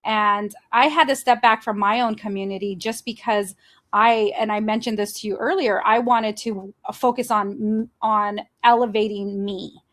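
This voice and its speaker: A woman hosting a candid conversation, chatty and animated, quick to jump in and laugh. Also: medium pitch. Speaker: host.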